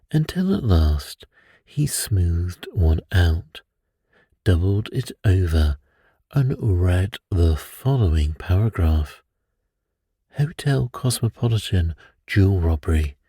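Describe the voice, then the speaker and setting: Male narrator, low and mellow, slightly husky, unhurried soothing bedtime storytelling, dry close sound. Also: lively intonation, no echo. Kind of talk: slow, calm scripted narration of a classic detective story.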